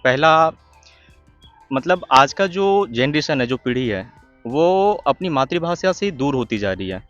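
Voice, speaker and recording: A man from Jharkhand explaining facts in a steady interview answer. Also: a little high, measured pace, lo-fi sound, soft background music. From Jharkhand, India.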